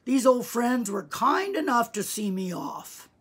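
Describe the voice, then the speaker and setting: A woman reading a story as a gentle, hopeful, failing old man with a wheezy, halting delivery. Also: on the high side, big pitch swings, slightly rough, pitched above the performer's own voice, full character voice. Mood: weakly welcoming.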